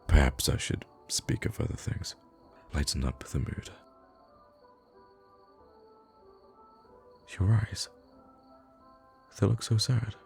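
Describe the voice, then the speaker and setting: An extremely low, slightly gravelly male voice as a man acts out a kind young prince in an intimate ASMR roleplay. He speaks in courtly, formal phrases with hesitant pauses, noble and gently witty, with faint music behind him. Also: exaggerated voice. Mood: tender, concerned. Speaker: voice actor in an audio roleplay.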